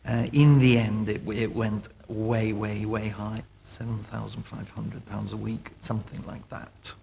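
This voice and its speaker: A man's voice: fairly low pitch, flat delivery, a little slow, creaky, mellow, slight background noise, rough recording. A man telling gossipy anecdotes emphatically in an interview. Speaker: interviewee, pantomime booker. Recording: VHS transfer with faint music.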